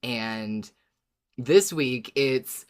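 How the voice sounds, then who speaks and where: A podcast co-host chatting casually, theorizing out loud in an informal, wry way with some swearing. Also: medium pitch, extremely slow. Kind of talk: casual fan discussion podcast.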